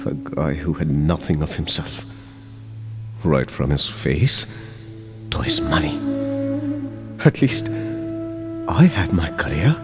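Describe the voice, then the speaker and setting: A man's voice: rumbling low, lively intonation, slow, long pauses, full character voice. An actor with an Indian accent playing an awkward, tender young man secretly in love in an audio play, hesitating and repeating his words. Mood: brooding, wistful. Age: young adult. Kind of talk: audio play acting with introspective monologue. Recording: long reverb, music underneath. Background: music.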